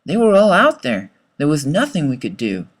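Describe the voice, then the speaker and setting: Guy reading a rhyming kids book, kinda high voice, really dramatic and swoopy. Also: moderate pace. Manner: dramatic, expressive storytelling. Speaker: author reading own children's book. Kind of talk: rhyming children's storybook narration.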